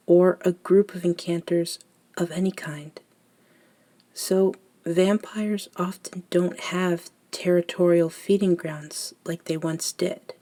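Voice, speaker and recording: A woman playing a gentle, reminiscing elder vampire in a scripted ASMR roleplay, speaking softly and intimately with thoughtful pauses. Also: on the low side.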